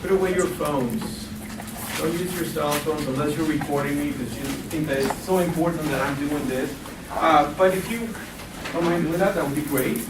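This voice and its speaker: A man in his forties runs a workshop in a lecture room, talking chattily and quizzing the audience in a slightly high voice. He was recorded by a camera far away, so his voice sounds echoey and there is a lot of noise. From Guatemala and Costa Rica. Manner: chatty, asks the audience questions. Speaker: financial literacy educator. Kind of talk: classroom workshop presentation.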